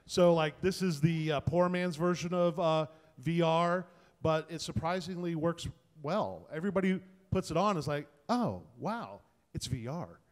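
Male panelist, colloquial and keen, slightly high pitch, recorded in a live reverberant space. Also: a little slow. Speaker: panelist, tech content creator. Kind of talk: casual panel talk. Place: indoor event space before a small audience. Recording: reverberant room, panel event.

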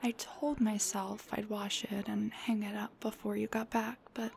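A woman playing a cuddly, teasing, affectionate girlfriend in an intimate roleplay ASMR, speaking softly and breathily in a half-whisper close to the microphone. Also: medium pitch, level tone, unhurried. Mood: sheepish, tender. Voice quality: breathy, soft. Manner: gentle, playful, affectionate.

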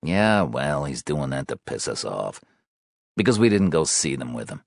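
A tired father on the defensive, trying to keep control, played by a male narrator. His audiobook voice is very low and dry. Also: big pitch swings, moderate pace, vocal fry, no room sound, heavily acted. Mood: tense, defensive. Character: weary, defensive husband and father, keeping control. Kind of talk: audiobook character dialogue.

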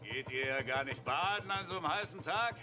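An actor playing a chatty, coarse provincial farmer in a radio play, talking in a folksy, blunt, rambling way. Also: male voice, on the high side, animated, brisk, lightly acted. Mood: jovial, chatty. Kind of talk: German radio play acting. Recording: clear vehicle noise behind.

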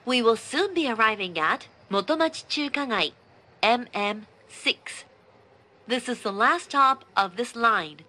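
A woman delivering a formal, scripted train announcement, measured and clearly enunciated. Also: a little high, lively intonation, sharp tone. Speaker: English-language train announcer.

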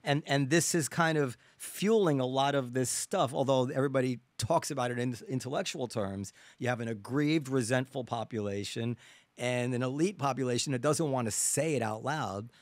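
A man's voice: mid-range voice, normal speed, no echo. A man in his fifties in casual podcast talk, argumentative and given to stammered repeats, close on a studio mic. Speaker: podcast host. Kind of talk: casual chat. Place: small indoor podcast studio. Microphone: close studio mic on arm with headphones.

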